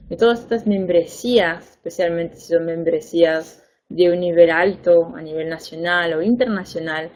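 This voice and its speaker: Young woman advisor, native Spanish speaker, warm low voice, patient explainer. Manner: clear, patient explaining with fillers and repeated emphasis. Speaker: education advisor. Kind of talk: webinar lesson.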